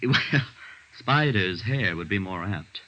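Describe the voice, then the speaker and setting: Fussy, melodramatic dress designer, wildly swooping voice. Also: male voice, low-pitched, lively intonation, slow pace, pushed and bright, slight background noise, heavily acted. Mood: desperate, agitated. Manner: theatrical, fussy, gushing, stammers when agitated. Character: flustered, melodramatic male dress designer, fussy and self-dramatizing. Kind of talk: 1950s radio drama acting. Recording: dull 1950s radio drama recording.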